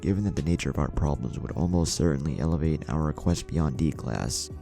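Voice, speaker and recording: Man reading grave, deep-voiced old village elder. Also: gravelly, dark tone, soft background music. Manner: formal, measured wording. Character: polite, grave elderly male village leader. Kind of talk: storybook character reading.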